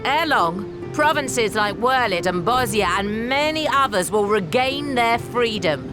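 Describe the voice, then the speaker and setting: A resolute naval admiral. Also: female voice, a little high, expressive, a little slow. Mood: rousing. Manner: formal, rousing speechmaking. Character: woman admiral of a seafaring nation, resolute. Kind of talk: video game cutscene acting. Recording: music playing underneath.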